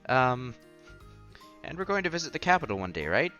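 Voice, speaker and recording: A man's voice: medium pitch, big pitch swings, a little fast, lo-fi sound. A man reading a visual novel aloud on a headset mic, acting out the dialogue and adding offhand comments in a casual way. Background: soft music.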